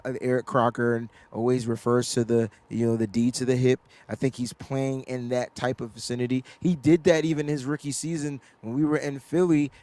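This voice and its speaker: A middle-aged man hosting a casual sports talk livestream, loud and animated, laughing often, into a desk microphone in a home studio. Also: mid-range voice, dry recording. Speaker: sports show host.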